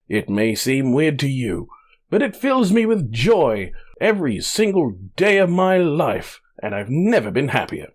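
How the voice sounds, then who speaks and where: Dramatic letter reading: earnest, proud, lovestruck male fiancé, acted by a man, emphatic and very animated in pitch. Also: a little slow.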